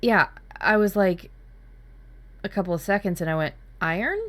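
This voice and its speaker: A woman talking casually as a writing workshop co-host, retelling her ideas in an informal, expressive way. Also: medium pitch, moderate pace. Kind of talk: casual workshop chat, recounting own brainstorm.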